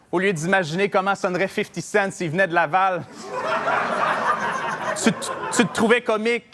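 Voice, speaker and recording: A man's voice: sits high, fairly bright tone. A man with a Québécois French accent, a battle rapper delivering mocking a cappella punchlines. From Quebec. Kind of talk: a cappella rap battle verses. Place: live battle venue with audience.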